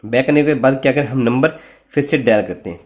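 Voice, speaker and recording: A man's voice: medium pitch, fast, darkish tone. A man with an Indian accent hosting an informal tech tutorial, explaining each step in an instructional, repetitive way with frequent check-in tags. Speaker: host of a tech tutorial channel.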